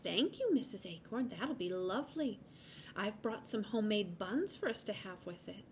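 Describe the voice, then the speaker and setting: A woman's voice: medium pitch, expressive, normal speed, a little hiss or hum, close to an everyday voice. A woman voicing a friendly, motherly hazelnut neighbour in a children's storybook, polite and chatty. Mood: pleased.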